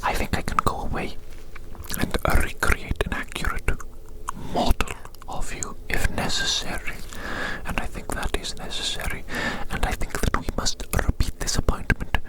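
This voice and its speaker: A woman whispering in a breathy, unvoiced murmur for an ASMR roleplay, playing a fussy, eccentric doctor who repeats phrases. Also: normal speed, rough recording. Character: fussy, eccentric scientist-doctor measuring a little patient.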